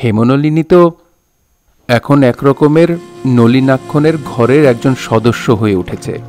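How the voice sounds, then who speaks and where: A man's voice: medium pitch, measured pace, a little mellow, soft background music, natural voice. A man playing a solemn, sensitive poet who narrates his own novel in a reflective, literary way. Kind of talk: dramatised literary storytelling.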